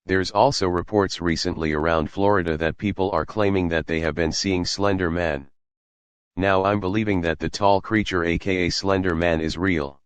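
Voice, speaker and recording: A man's voice: low voice, fairly even intonation, moderate pace, smooth, no echo. A man presenting a scripted newscast in a plain, earnest, announcing way.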